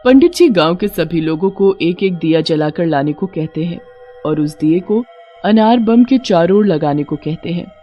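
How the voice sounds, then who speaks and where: A woman's voice: on the low side, expressive, normal speed, dull audio, faint music behind. A woman narrator reading a scripted horror story for a cartoon.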